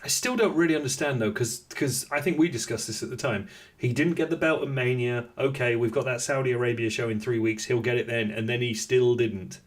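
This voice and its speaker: A man's voice: mid-range voice, fairly quick, few pauses. A man hosts a casual podcast about wrestling. He talks in a rambling, joking manner, breaking off into hesitant little asides. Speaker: podcast host.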